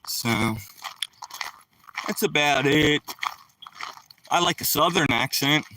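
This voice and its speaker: A man's voice: fairly high pitch, slow, far from the mic, noisy background. A middle-aged man from Philadelphia with a Philadelphia accent, chatting casually to viewers on a live stream while walking by a lake. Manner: rambling, talks to viewers directly. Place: outdoors by a rural lake. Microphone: phone held while walking.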